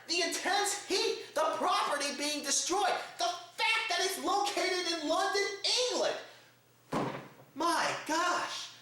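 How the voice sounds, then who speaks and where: A man delivers mock news commentary as the host of a satirical report. His voice is bright and forceful, with a lively, theatrical rise and fall. He addresses the audience formally, and the room around him is very echoey. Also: very high-pitched.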